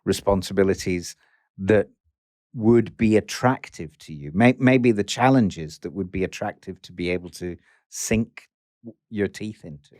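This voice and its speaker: A man's voice: deep voice, measured pace, a little mellow, dry recording, studio quality. A man hosting an interview, encouraging his guest and often restarting his questions.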